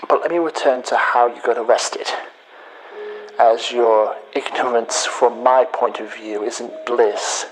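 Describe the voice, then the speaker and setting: A man playing a bitter hacker in a sci-fi audio drama, speaking deliberately with pauses as he accuses a former friend. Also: mid-range voice, steady tone, a little hiss or hum, close to an everyday voice, low-quality recording. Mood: cold, gloating. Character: bitter male hacker confessing revenge to his betrayed friend.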